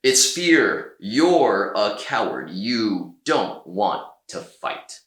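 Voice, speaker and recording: A man voicing an imperious, scornful emperor in a children's storybook, with drawn-out, emphatic words. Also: fairly low pitch, big pitch swings, a bit bright, roomy sound, heavily acted. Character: imperious scornful male Roman emperor, slow and sneering.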